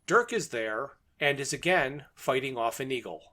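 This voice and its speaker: A man's voice: mid-range voice, expressive, moderate pace, pushed and bright. A man co-hosting a podcast, recapping a plot in a methodical, matter-of-fact way. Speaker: podcast co-host.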